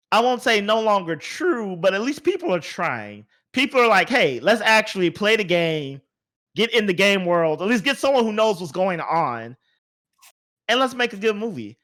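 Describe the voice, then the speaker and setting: A man's voice: high voice, a bit bright. A man hosting a podcast, chatting casually about movies in an informal, emphatic way. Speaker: podcast host.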